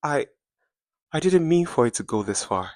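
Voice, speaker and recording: A man voicing a frightened, guilty rich teenage girl who stammers haltingly, in a dramatic story. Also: fairly high pitch, very animated, a little mellow, no echo, studio quality, pitched above the performer's own voice.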